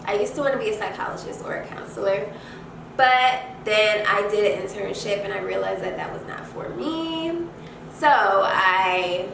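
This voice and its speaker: A young woman talks casually to her viewers in an echoey room, set well back from the mic. She sounds congested and speaks in a halting, conversational way, rephrasing her motivational advice as she goes. Clear noise sits behind her voice. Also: mid-range voice. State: congested from allergies. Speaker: host of a self-care advice channel. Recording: echoey room, distant mic, noisy background.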